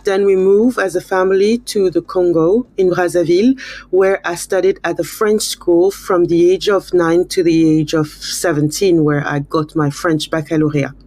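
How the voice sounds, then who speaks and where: A middle-aged woman from Cameroon speaks as an interview guest in a slightly low voice. She is reflective and candid, recalling things in a conversational way. The sound is dry, with little room in it. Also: steady tone, normal speed, darkish tone, dry recording. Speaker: interview guest, school principal. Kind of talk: conversational interview, personal recollection.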